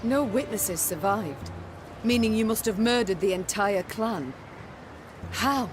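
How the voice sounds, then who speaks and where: A woman's voice: mid-range voice, unhurried, background noise, lightly acted. Commanding warrior queen, played by a woman. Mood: stern, accusing. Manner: formal, commanding, archaic phrasing. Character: stern, imperious warrior queen. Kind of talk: scripted video game voice acting.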